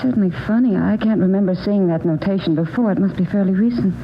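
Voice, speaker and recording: An actress playing a polite, guarded doctor's receptionist in a 1940s radio drama. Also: female voice, medium pitch, fast talker, lightly acted. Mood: polite, helpful. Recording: muffled, noisy old radio broadcast recording.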